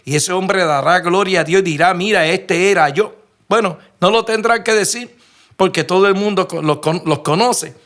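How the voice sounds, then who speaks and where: Male Puerto Rican pastor, slightly high voice, earnest sermon storyteller who stops and restarts often. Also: normal speed, fairly bright tone. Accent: Puerto Rican Spanish. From Puerto Rico. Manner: earnest storytelling, frequent restarts and rhetorical questions. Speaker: preacher.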